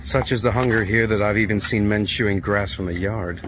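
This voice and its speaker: An actor playing a resigned veteran prisoner in a radio drama, with a dry, low-key delivery. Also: male voice, fairly quick, noisy background, close to an everyday voice. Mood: resigned, coaxing. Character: world-weary, resigned veteran American prisoner.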